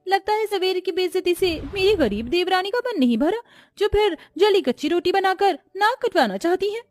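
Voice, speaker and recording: A woman's voice: very high-pitched, expressive, normal speed, dry recording, studio quality, voice pushed up. A woman voicing a bossy, taunting young sister-in-law in a cartoon story, with a mocking, commanding way of talking.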